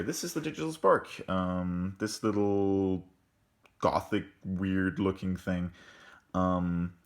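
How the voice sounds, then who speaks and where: A man with a very deep voice giving a casual unboxing review, talking in a halting way with many ums and restarts, in an indoor room. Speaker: tech reviewer.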